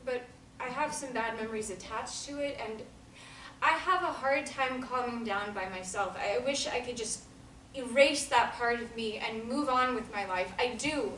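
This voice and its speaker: A woman plays an earnest young woman who lacks confidence. Her voice is bright and a little high, and her sentences come out halting. The recording is echoey, with the mic set far back. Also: a little hiss or hum. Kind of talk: table reading of a stage play. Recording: echoey room from a distant mic.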